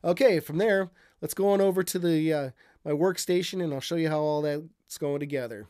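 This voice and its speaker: A middle-aged man with a slightly high, soft-edged voice leads an informal tutorial. He explains each step in a plain, folksy way, as if from a small home studio. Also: normal speed. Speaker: host of music recording tutorial.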